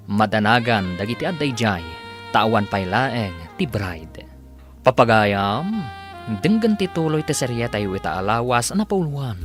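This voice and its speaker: A woman narrating and announcing a radio drama serial in a scripted style. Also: rumbling low. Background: music.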